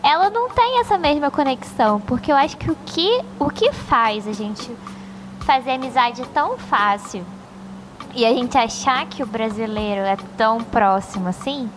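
A Brazilian woman hosting a casual podcast, talking in a lively way. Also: on the high side, moderate pace, noisy background. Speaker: podcast host.

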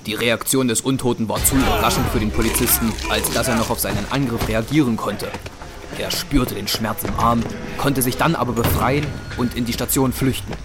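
A man narrating a scripted audio drama in a measured, serious storytelling manner. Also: mid-range voice, fairly even intonation. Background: music.

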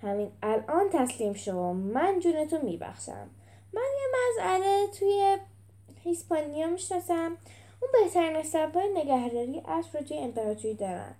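A woman's voice: high-pitched, expressive, dark tone, pitched above the performer's own voice. A woman voicing an arrogant male Roman champion gladiator in a storybook, mocking and hot-tempered. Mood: smug bargaining.

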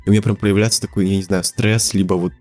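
Rambling teenage guy, low voice. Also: level tone, fast, soft background music. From Siberia, Russia. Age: teens. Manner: rambling, many fillers and restarts, laughs often. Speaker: guest. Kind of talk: casual podcast interview.

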